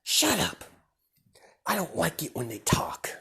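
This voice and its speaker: A woman's voice: deep voice, huge pitch swings, a bit bright, pitched above the performer's own voice, exaggerated voice. Woman putting on a whispery sadistic young villain. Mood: mocking menace. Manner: mocking, drawn-out, often breathy whisper. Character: menacing young male villain, gleeful and sadistic.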